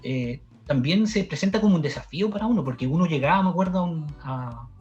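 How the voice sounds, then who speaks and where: Chilean sociologist guest, halting conversational delivery, higher-pitched, faint music under muffled audio. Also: male voice, animated, darkish tone. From southern Chile. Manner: halting, many restarts and fillers. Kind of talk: conversational interview answer.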